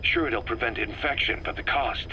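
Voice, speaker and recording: A man's voice: mid-range voice, normal speed, pushed and bright, slight background noise, low-quality recording. A man playing a blunt, wary military commander in a video game cassette tape, asking worried questions.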